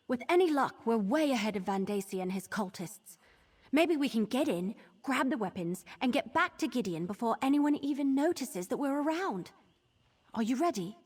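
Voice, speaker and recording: An actress playing a brisk, wary adventuring companion in a video game, conversational and eager to get moving. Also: female voice, fairly high pitch, a little mellow, breathy edge. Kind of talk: scripted video game dialogue. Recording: music behind the voice.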